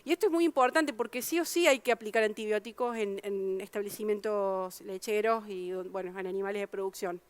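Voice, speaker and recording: A woman's voice: medium pitch, brisk, few pauses, clear, resonant tone, no echo. A woman from Argentina with an Argentine Spanish accent, a researcher explaining her work at a public science talk, with frequent fillers and restarts.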